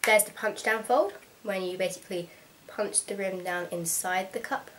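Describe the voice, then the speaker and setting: Young woman, slightly hoarse from a cold, frank and chatty vlogger, mid-range voice. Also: medium pitch, normal speed, fairly bright tone, a little hiss or hum. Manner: chatty, frank and practical, with frequent ums. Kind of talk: casual instructional vlog.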